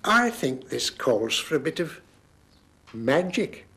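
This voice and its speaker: A man's voice: fairly high pitch, very animated, slow, noisy background, full character voice. An older actor playing a kindly grandfather who patiently explains old times to children, in a children's TV drama. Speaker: grandfather who does magic. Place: kitchen set, indoors.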